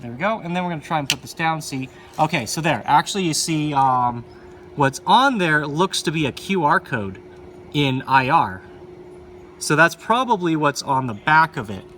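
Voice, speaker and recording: A man hosting an unscripted game demonstration, casually thinking aloud in a halting, rambling way. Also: mid-range voice, fairly bright tone, slight background noise. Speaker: video host. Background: arcade game music.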